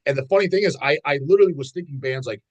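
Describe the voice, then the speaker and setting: A man hosting an interview, telling a story in an excited, casual way. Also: a little high, rapid-fire. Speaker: host.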